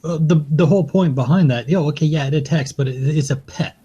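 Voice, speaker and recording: A man from Hawaii with a husky voice, teasing and casual as a podcast panelist. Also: a little high, a little fast, warm tone. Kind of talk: casual banter. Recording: dull lo-fi voice chat.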